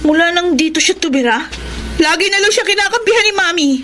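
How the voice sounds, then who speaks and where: Bratty high-pitched Filipino girl. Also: animated, fast, a bit bright. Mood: bitter. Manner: bratty, complaining. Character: spiteful, whining young daughter jealous of adopted brother. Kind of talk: Filipino radio drama acting. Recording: music under the voice.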